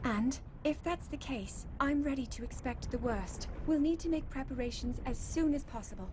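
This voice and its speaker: High-voiced, earnest British princess played by a woman. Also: moderate pace, noisy background, close to an everyday voice. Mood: grave, worried. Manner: earnest, formal, precise diction. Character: earnest, scholarly young fantasy princess. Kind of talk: dramatic video game cutscene acting. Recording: music behind the voice.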